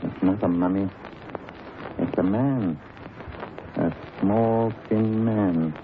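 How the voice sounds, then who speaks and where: A man playing a commanding, assured gentleman detective in a 1930s radio drama. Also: low voice, takes it slowly. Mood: tense, hushed. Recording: tinny, hissy 1930s radio recording.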